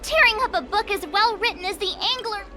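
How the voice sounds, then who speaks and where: A woman's voice: very high-pitched, brisk, very noisy. An actress playing a feisty, righteous young girl detective in a video game, dramatic and emphatic. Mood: indignant. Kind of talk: video game character acting. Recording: music playing behind the voice.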